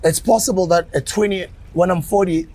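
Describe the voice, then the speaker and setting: A South African man in his forties hosting a casual podcast interview, animated and playful, often breaking into laughter. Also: high voice, brisk. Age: 40s. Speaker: podcast host.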